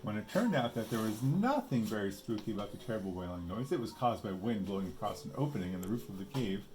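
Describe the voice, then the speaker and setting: A man's voice: on the low side, expressive, quick pace, darkish tone, a little hiss or hum. A man reading a picture book aloud with casual asides, explaining simply as if to a young child. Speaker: storybook reader.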